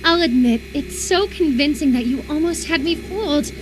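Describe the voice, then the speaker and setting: A woman playing an obsessive, sweetly menacing young female captor in a dramatic horror visual novel, coaxing with a sharp edge. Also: sits high, normal speed, background noise, lightly acted. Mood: eerie, accusing.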